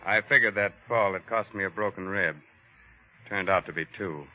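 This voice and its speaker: An actor playing a plain, matter-of-fact city doctor in 1940s radio drama style. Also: male voice, deep voice, moderate pace, lightly acted. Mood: sober, matter-of-fact. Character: plain city doctor recounting surviving a torpedo attack. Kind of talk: 1940s radio drama first-person narration. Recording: muffled old 1940s radio recording.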